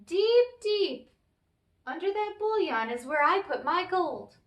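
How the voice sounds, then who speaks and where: Woman voicing a high-pitched, cranky little old leprechaun. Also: sing-song, takes it slowly, heavily acted. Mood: instructing. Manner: sharp, folksy, crafty. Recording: roomy, echoey, distant microphone.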